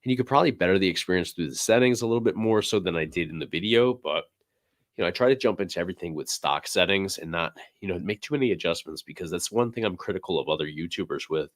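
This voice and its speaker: A man with a very low, deep voice hosts a laid-back livestream, his voice a little raspy and hoarse as he starts to lose it. He rambles easily with plenty of ums and you-knows, close to the mic in a dry, dead room. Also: no room sound. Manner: relaxed, rambling, many fillers. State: slightly hoarse, losing voice. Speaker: livestream host. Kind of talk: casual livestream chat.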